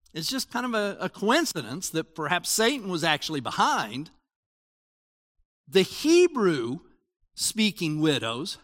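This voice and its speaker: A high-voiced man preaching in a church, his pitch swinging up and down as he explains scripture. His delivery is conversational, full of restarts, and the sound rings with long hall reverb. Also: fairly bright tone. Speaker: pastor. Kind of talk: sermon.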